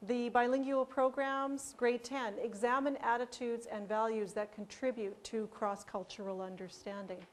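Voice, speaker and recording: A woman giving a conference presentation on language teaching, explaining ideas and pausing with fillers. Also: a little high, steady tone.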